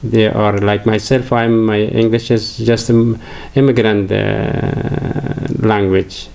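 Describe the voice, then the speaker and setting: An older man from Afghanistan with an Afghan accent, a native Farsi speaker, giving a halting religious lecture full of fillers and restarts. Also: on the low side, little pitch movement, creaky, a little mellow. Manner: hesitant, many fillers and restarts.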